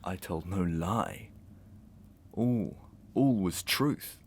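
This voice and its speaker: An actor playing a guilt-racked, tormenting gentleman murderer in a Victorian audio melodrama, with theatrical, deliberate, archaic delivery. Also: deep voice, animated, breathy edge, no echo, exaggerated voice. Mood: tormented, defiant. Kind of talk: Victorian stage melodrama acting in an audio drama.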